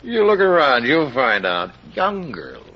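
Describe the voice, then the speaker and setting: An actor playing a cagey, insolent drunk in an old-time radio mystery, teasing in clipped fragments. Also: male voice, medium pitch, wildly swooping pitch, a little slow, breathy edge, dull audio, exaggerated voice. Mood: sly.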